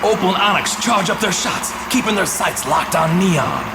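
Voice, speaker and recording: Excitable cartoon play-by-play announcer, dramatic, really lively pitch, music under it. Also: male voice, normal speed. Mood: dramatic build-up. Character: excitable dramatic male sports commentator narrating brawls. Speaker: sports commentator.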